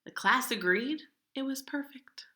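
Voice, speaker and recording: A woman reads a storybook aloud with a very bright, lively voice that moves widely in pitch. She is dramatic and draws out key words. The sound is roomy and echoing. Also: measured pace. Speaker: storybook reader. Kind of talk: children's picture book read-aloud.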